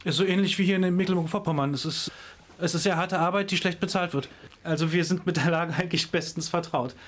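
A man with a somewhat high voice gives careful interview answers. He talks in a measured way, often stopping to search for the right word. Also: fairly bright tone, slight background noise. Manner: thoughtful, hesitant with many fillers. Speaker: interviewed expert on tourism.

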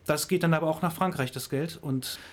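A man's voice: on the high side, brisk. A man answering interview questions, explaining thoughtfully with frequent hesitations and fillers. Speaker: interviewed expert on tourism.

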